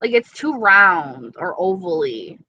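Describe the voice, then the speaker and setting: A woman's voice: mid-range voice, very animated, slow pace, slightly rough, dull audio. A young woman with an East Coast accent chatting casually on a live stream, reading viewer comments and swearing freely. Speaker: beauty vlogger.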